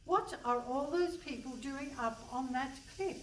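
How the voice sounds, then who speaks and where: Radio comedy role: bossy older English female detective played by an actress, brisk and dry, high voice, distant stage mic. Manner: brisk, dry, condescending, calling people pet and love. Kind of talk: comic radio play before a live audience. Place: hall stage with a live audience. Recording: distant stage pickup with some noise.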